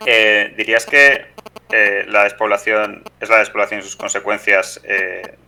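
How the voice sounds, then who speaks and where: A young man with an accent from Spain, asking long, halting interview questions in a conversational style. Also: lowish voice, fairly even intonation, vocal fry, pushed and bright, low-quality recording. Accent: Spanish from Spain. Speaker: radio interviewer.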